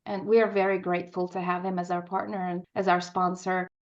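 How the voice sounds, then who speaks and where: A woman's voice: lowish voice, level tone, a little fast, highs cut off. A woman speaking as an interview guest, an opera composer in conversation on the radio.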